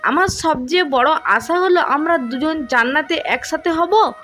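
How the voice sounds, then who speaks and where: A woman storyteller voicing a shy, devout, gentle young wife who speaks politely and earnestly in a romantic story. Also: high-pitched, measured pace, faint music behind.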